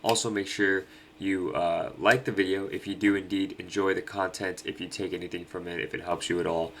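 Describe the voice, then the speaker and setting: Chill young guy, stuffy nasal voice, low pitch but bright tone, um-heavy. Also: fairly even intonation, a little fast, slight background noise. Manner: laid-back, many ums and uhs. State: nasal from allergies. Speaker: fantasy sports host. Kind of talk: casual picks breakdown.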